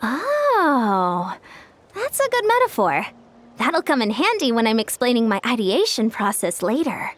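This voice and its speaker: A woman's voice: on the high side, sing-song, a little slow, a little hiss or hum. A woman playing an upbeat, confident young course designer in a video game, explaining things in a self-assured way. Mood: pleased, encouraging. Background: music.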